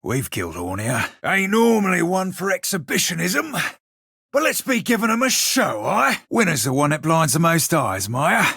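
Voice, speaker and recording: Husky, salty pirate rogue. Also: male voice, a little high, huge pitch swings, normal speed, pushed and bright, no echo, clean studio sound, full character voice. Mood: rousing, bold. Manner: archaic nautical slang, sardonic, bantering. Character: roguish blue fantasy pirate sailor, male, salty and irreverent. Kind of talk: video game voice lines, banter and fight barks.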